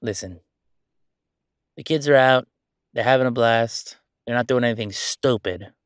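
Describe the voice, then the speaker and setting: A man's voice: mid-range voice, a little slow, clean tone, no room sound, lightly acted. Man playing a playful, chatty ghost roommate, dry close sound. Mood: chatty, relaxed. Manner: excitable, chatty, joking, hesitating conversationally. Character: friendly male ghost roommate, playful, mischievous, chatty jokester. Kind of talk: casual roleplay audio drama.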